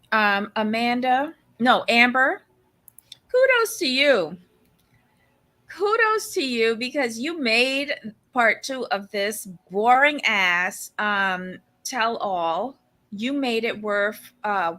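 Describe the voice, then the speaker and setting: A woman's voice: fairly high pitch, sharp tone. A woman with a Barbadian accent, co-hosting a casual recap show and giving blunt, opinionated takes. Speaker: podcast co-host.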